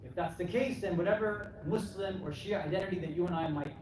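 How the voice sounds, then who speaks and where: A man's voice: high voice, fast. A man giving a conversational sermon, asking rhetorical questions, often adding "right?" and voicing imagined replies. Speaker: preacher addressing a congregation. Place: Islamic center hall. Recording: echoey hall, distant mic, clearly noisy.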